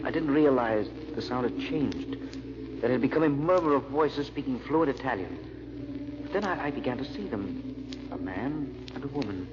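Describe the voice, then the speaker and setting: An actor playing a haunted, earnest gentleman sleuth, with dramatic, hesitant delivery in old-time radio drama style. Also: mid-range voice, animated, moderate pace, lightly acted. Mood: haunted, brooding. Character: haunted, earnest gentleman amateur sleuth narrating his search. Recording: muffled old radio recording with hiss.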